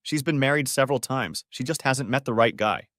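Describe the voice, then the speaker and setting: A man's voice: medium pitch, brisk, a bit bright, dry recording, clean studio sound, close to an everyday voice. A man voicing an agitated, defensive wife in a dramatic story reading. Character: Carol, agitated defensive wife in a troubled marriage. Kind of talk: audiobook-style dramatic reading of dialogue.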